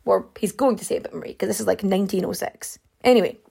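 A woman's voice: mid-range voice, fast, creaky, mellow, slightly rough. A woman hosting a podcast, telling a true-crime story in a rambling, chatty way with many fillers and asides while she is losing her voice. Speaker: podcast host.

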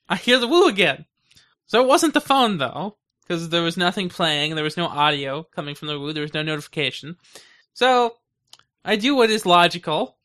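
A man's voice: high-pitched, sharp tone. A man co-hosting a podcast in casual chat, telling things in a rambling, conversational way. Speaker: podcast co-host.

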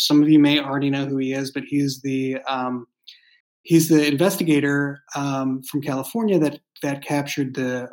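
Man explaining casually, with halting rephrasing. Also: on the high side, low-quality recording. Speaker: fiction podcast writer and creator. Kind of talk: casual behind-the-scenes discussion.

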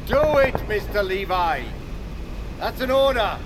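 Stern, driven expedition leader. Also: male voice, sits high, animated, a little slow, background noise. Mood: urgent, commanding. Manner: commanding, intense. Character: stern, obsessed expedition leader giving ruthless orders. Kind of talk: audio fiction drama acting.

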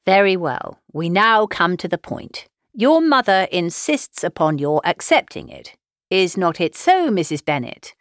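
A woman voicing a calm, dry-witted middle-aged father in a classic novel, deadpan, measured and formal. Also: lowish voice, no room sound. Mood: mock-stern. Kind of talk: audiobook character voice, classic novel.

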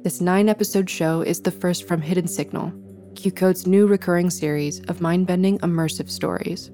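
A woman's voice: on the low side, fairly even intonation, moderate pace, mellow, faint music behind. A woman announcer reading a scripted podcast introduction.

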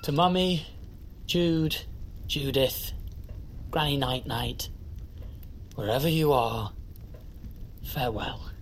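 An actor playing a solemn, slightly drunk older uncle in an audio drama. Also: male voice, medium pitch, slow, pauses often, a little hiss or hum.

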